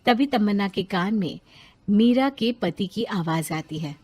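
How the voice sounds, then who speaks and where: A woman's voice: medium pitch, lively intonation, unhurried, a little mellow, breathy edge. A woman narrating a scripted moral story as a storyteller.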